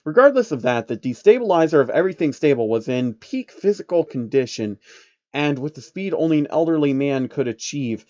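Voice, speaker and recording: A man's voice: fairly high pitch, animated, a little fast, a little mellow, no room sound, highs cut off. A man reading fan fiction aloud in a casual storytelling style, with irreverent, sweary asides. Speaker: narrator of online fan fiction.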